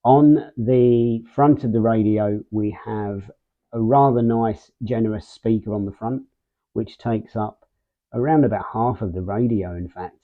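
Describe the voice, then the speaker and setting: A man hosting a casual product unboxing and walkthrough, speaking in short, measured phrases with pauses mid-sentence. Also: lowish voice. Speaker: video host, product reviewer. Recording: muffled, phone-like narrow band sound.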